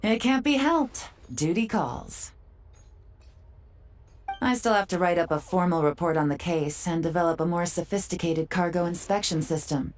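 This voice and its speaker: Casting: woman to play urbane female spy for a video game, lower register, unhurried, self-assured, playfully teasing. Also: natural voice. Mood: businesslike. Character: poised, urbane female intelligence agent, relaxed and teasing. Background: background music.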